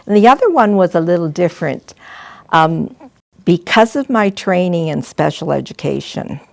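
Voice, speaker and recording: An older woman, a retired school principal, telling gentle, halting stories and advice to students. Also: fairly low pitch, animated, gravelly, dry recording. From Canada. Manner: gentle, halting, with frequent restarts and soft asides. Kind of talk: reflective storytelling to students.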